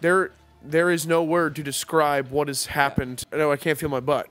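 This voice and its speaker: A man's voice: fairly high pitch, little pitch movement, normal speed, a bit bright. A young man in casual couch chat with friends, joking in a playful way and sometimes slipping into silly voices. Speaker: gaming house guest. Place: living room couch with others.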